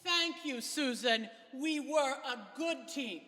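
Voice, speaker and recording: A woman playing a dignified suffragist leader in a historical readers theater, with a formal, measured delivery. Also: high-pitched, sharp tone, exaggerated voice. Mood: fond, reminiscing. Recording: echoey hall with long reverb.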